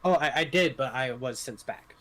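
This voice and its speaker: A man playing a tabletop game, casually describing his character's actions in a halting, tentative way. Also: mid-range voice, a bit bright. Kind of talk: casual third-person roleplay description.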